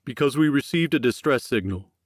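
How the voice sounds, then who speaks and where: A man's voice: medium pitch, animated, a little fast, no room sound, clean studio sound, voice pushed up, natural voice. A man voicing a guarded, pained mission overseer in an audiobook, explaining himself tersely and defensively. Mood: guarded, strained. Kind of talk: audiobook character acting.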